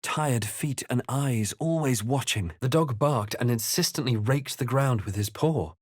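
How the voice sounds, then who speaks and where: A man playing a composed, wry fantasy adventurer for a video game, speaking in a measured, literary, theatrical way. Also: medium pitch, no room sound, studio quality, lightly acted. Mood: grave, hushed narration. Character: male fantasy adventurer hero narrating his exploits, composed, wry. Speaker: video game player-character voice actor. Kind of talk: dramatic fantasy game voice lines and narration.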